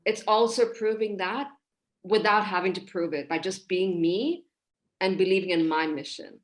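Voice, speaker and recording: Expressive woman from Hong Kong on a video call. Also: mid-range voice, normal speed. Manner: warm, expressive, gestures while talking. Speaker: guest, relationship coach. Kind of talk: casual podcast interview over video call. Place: small room indoors.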